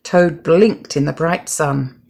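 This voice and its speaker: Older female storyteller, grandmotherly reader for small children; slow, lowish, creaky, expressive. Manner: unhurried, expressive read-aloud. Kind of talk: storybook narration.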